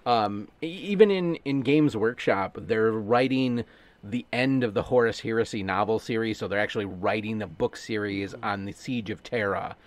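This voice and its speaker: A man co-hosting a podcast in casual chat, animated and quick with asides and casual swearing. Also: fairly low pitch, dry recording. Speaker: podcast co-host.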